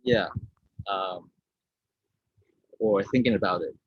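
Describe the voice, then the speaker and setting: Low, husky, hesitant man on muffled call. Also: slow pace. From Vietnam. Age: 30s. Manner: hesitant, searching for words. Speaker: artist being interviewed. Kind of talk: informal talk about own artwork. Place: indoor room, on a video call. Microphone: video-call microphone. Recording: muffled, roomy video-call audio.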